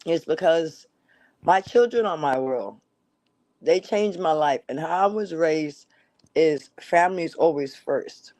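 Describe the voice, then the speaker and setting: A woman guest on a talk show, telling heartfelt stories about family in a steady way. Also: deep voice, a little slow, no echo. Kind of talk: casual talk show chat over phone.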